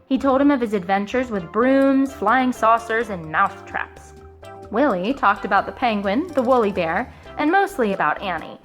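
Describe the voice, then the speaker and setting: A woman in her thirties reading a children's story aloud, expressive, with dramatic pauses. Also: fairly high pitch, faint music behind. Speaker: storybook reader. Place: living room sofa for the introduction.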